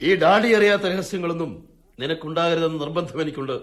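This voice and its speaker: A man playing a cunning, domineering older father, a retired forest ranger, in a radio drama, speaking in a stern, probing, measured way. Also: a little high, a bit bright, close to an everyday voice. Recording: radio drama with music behind.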